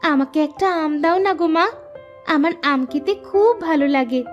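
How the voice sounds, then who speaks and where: A very high, childlike voice from a woman actor plays a sad, mistreated little girl. She speaks in a plaintive, pleading way, as in a cartoon story, with music faintly behind her. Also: measured pace, muffled recording. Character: sad, mistreated young stepdaughter, pleading and sweet.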